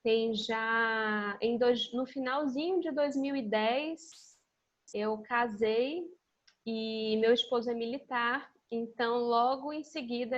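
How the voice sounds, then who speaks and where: A woman giving an informal religious study talk in a didactic, conversational way. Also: fairly high pitch. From Salvador, Bahia, Brazil. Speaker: guest speaker. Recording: dull lo-fi internet call audio.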